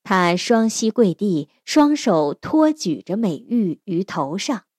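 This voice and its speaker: A woman tells a scripted fairy tale slowly, with lively swings of pitch. The narration is expressive and recorded dry, with no room sound. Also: husky, dry recording. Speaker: storyteller.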